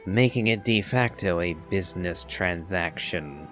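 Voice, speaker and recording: A man giving casual game commentary as a streamer, in a musing, wry and measured way. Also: low voice, fairly bright tone, faint music behind. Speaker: gaming streamer.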